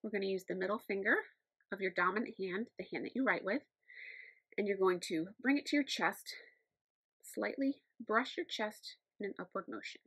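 Middle-aged woman teaching, creaky and husky. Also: medium pitch, a bit bright, no echo. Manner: measured, step-by-step explaining. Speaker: teacher of the deaf. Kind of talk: instructional sign language lesson. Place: small indoor room against a plain backdrop.

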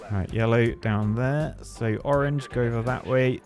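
Man, British accent, middle-pitched voice, casual gameplay commentary, jokey, thinking aloud, music in the background. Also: steady tone, moderate pace, darkish tone. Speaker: gaming video host.

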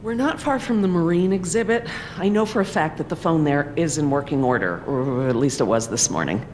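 A woman's voice: sits low, lively intonation, fairly quick, darkish tone, a little hiss or hum. A woman playing a composed, weary female scientist in a video game, explaining things precisely. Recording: long hall reverb, faint music.

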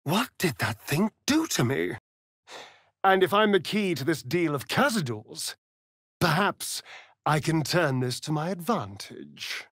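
An actor with a British accent playing a sardonic, preening vampire rogue in a fantasy video game, with an arch, drawling, theatrical delivery. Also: male voice, fairly high pitch, very animated, unhurried, fairly bright tone, no room sound, studio quality, exaggerated voice. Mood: musing.

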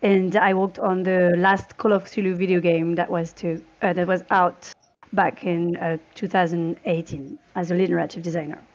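A woman's voice: fairly low pitch, flat delivery, normal speed. A woman introducing herself casually as a panelist, speaking into a headset on a video call. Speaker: panelist, narrative designer. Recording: muffled, band-limited video call.

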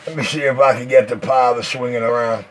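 A man's voice: medium pitch, fairly even intonation, quick pace. A man talking slangy CB radio chatter in a boastful, swaggering patter. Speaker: CB radio operator. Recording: noisy CB radio with static.

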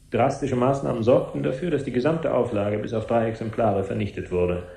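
Radio play casting: officious male spokesman actor, medium pitch, long-winded press-conference delivery, roomy sound. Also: warm tone, close to an everyday voice. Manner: formal, bureaucratic, long-winded. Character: formal, pompous male head of public relations.

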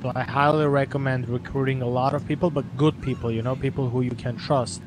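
A man with a medium-pitched voice talks casually over game voice chat. He explains things to other players, often repeating a phrase. Clear street noise sits behind him. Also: fairly even intonation, a little fast. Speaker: fellow player guiding a newcomer.

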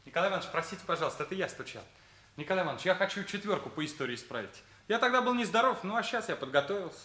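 An actor playing a witty, cocky teenage schoolboy in a Soviet radio play, with a theatrical, declaiming manner. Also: male voice, high voice, expressive, rapid-fire, pushed and bright. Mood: pleading.

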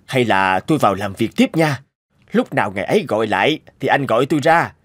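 Harried male scientist, lively intonation. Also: medium pitch, fairly quick. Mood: impatient. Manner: harried, grumbling. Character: busy, grumbling male research scientist, adult, absorbed in work. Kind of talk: cartoon voice acting.

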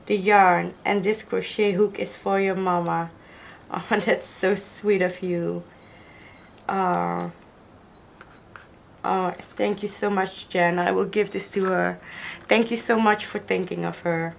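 A young woman, hoarse from a cold, gushing slowly over craft gifts while filming at a table with the camera mic. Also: mid-range voice, steady tone, slight background noise. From Netherlands. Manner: gushing, slow, admiring, repeats praise. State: hoarse from illness. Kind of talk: casual unboxing vlog. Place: indoors at a wooden table.

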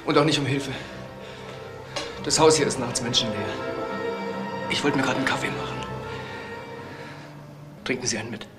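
An actor playing a talkative, philosophical young biology student in a crime drama, rambling and stammering, unfazed by an intruder. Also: male voice, medium pitch, steady tone, moderate pace, long pauses, over loud music, natural voice. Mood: flustered, conciliatory. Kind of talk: naturalistic German crime drama acting.

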